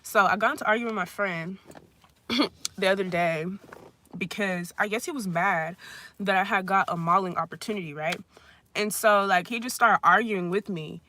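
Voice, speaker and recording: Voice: medium pitch, moderate pace, bright, forceful tone, slight background noise. A vlogger in their twenties telling a casual storytime rant at a friend's house, rambling with constant "like" fillers and blunt, profane wording. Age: 20s. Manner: rambling, heavy use of "like" fillers.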